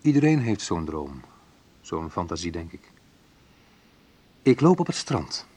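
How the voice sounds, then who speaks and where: An actor playing a wistful man recounting his youth in a reflective, measured radio play narration, with a slightly husky voice. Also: low-pitched, very animated, mellow, full character voice. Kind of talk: literary first-person radio play narration.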